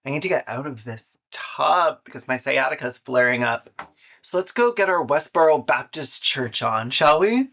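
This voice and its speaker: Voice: medium pitch, expressive, normal speed, fairly bright tone. A video essayist giving scripted, sarcastic commentary with casual swearing and comedic asides.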